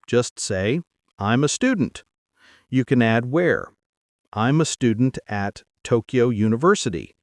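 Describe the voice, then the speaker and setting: A man hosting an English-learning podcast, speaking upbeat and clearly, slowly spelling out phrases for listeners to repeat. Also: mid-range voice, dry recording, clean studio sound. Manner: upbeat, clear, slow and deliberate for repetition. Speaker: podcast host and English teacher. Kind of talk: scripted English lesson.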